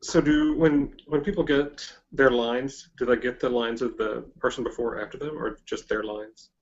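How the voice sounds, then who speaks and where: A man with an average-pitched voice chats informally as the interviewer. He is agreeable and a little hesitant in how he phrases things. The audio is dull and lo-fi. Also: steady tone, moderate pace. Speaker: podcast interviewer. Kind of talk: casual interview chat.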